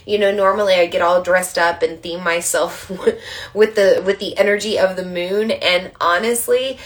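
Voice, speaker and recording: Woman, lowish voice, a bit stuffy-nosed, gentle, guiding livestream host. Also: fairly even intonation, moderate pace. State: a little stuffy from allergies. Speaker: moon priestess hosting a live online circle. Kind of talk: casual livestream with guided breathing. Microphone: livestream camera mic.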